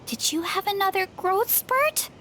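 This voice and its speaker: A woman voicing a cheerful young woman with a big appetite in a video game, talking in a bright, friendly way and laughing easily. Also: very high-pitched, expressive, measured pace, background noise. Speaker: game character showing guests an orchard. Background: soft game music.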